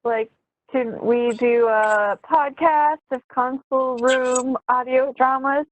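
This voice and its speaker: A woman's voice: sits high, fairly even intonation, takes it slowly, no echo, low-quality recording. A woman moderating a panel discussion, introducing speakers and thanking them. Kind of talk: event hosting, thanking panelists.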